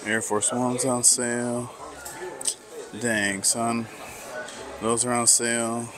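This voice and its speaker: A man vlogging casually as he browses sneaker stores in a mall, talking slowly and musing to himself with lots of fillers. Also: mid-range voice, flat delivery, music in the background. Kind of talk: casual walk-through commentary.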